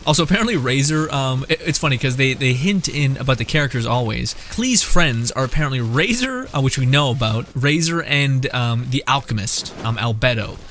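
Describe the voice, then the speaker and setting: A man giving casual, joking, excitable gameplay commentary as a streamer, with plenty of swearing. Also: mid-range voice, a little fast, few pauses, slight background noise. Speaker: video game streamer.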